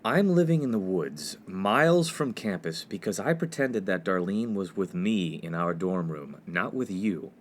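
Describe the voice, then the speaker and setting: A man's voice: low-pitched, expressive, a little slow, few pauses. A man playing an earnest, exasperated young college freshman in a comedic radio play, indignant and sarcastic. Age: young adult. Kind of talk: comedic 1950s-set radio play acting. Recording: faint background noise.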